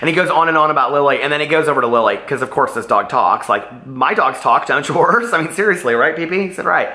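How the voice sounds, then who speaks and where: A man's voice: on the high side, animated, fast, sharp tone, echoey room. A man chatting casually and animatedly as a book vlogger, rambling off on tangents.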